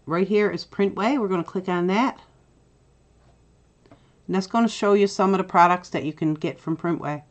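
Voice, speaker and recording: Tutorial host: middle-aged Newfoundland woman, lowish voice, chatty with filler "uh"s, dull lo-fi desk mic. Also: normal speed, long pauses. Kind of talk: screen-recorded software tutorial.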